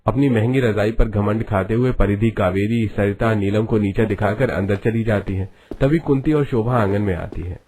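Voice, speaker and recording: A man's voice: on the low side, normal speed, few pauses, darkish tone, husky. A man narrating a scripted cartoon story.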